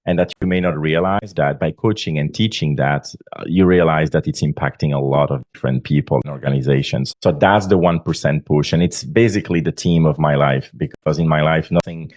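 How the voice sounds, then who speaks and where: A deep, low-voiced man in his forties speaks with a Belgian accent. He talks earnestly and stops often on fillers. The sound is dry and a little dull, like a remote lo-fi line. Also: darkish tone, no echo. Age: 40s. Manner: earnest, halting with many fillers. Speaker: guest author. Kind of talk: podcast interview.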